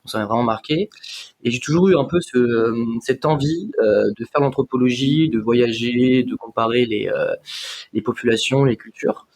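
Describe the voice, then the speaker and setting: A man's voice: mid-range voice, moderate pace, darkish tone. A man from the Paris region speaking as an interview guest, reflective and full of anecdotes about his photography. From Paris region, France. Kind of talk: casual interview chat.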